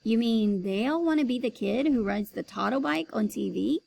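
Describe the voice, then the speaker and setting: A woman's voice: fairly high pitch, animated, normal speed, gravelly, a little mellow, full character voice. A woman reading aloud, voicing a curious, indignant schoolboy who asks pointed questions.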